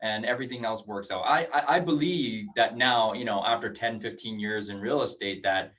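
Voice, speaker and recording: A man's voice: lowish voice, normal speed, fairly bright tone, recorded from a distance. A man in his forties hosting a casual video-call interview, friendly and rambling with frequent restarts. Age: 40s. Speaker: host.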